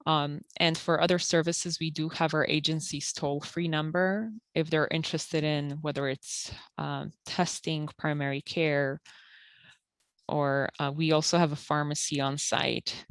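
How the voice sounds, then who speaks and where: A woman who works at a community health center, calmly explaining eligibility rules and documents step by step with frequent fillers. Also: deep voice, measured pace, clean tone, no room sound. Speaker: health center benefits counselor. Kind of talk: informational interview.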